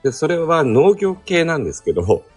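A man chatting casually as a guest on a live audio show, politely and haltingly, thinking aloud as he goes. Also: fairly high pitch, very animated, husky. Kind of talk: casual chat. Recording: background music under the voice.

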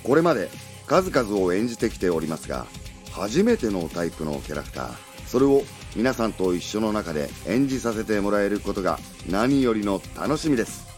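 A man's voice: lowish voice, very animated, fairly quick, a little mellow, soft background music. A man who acts with his voice, giving an earnest, formal promotional testimonial. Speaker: voice actor.

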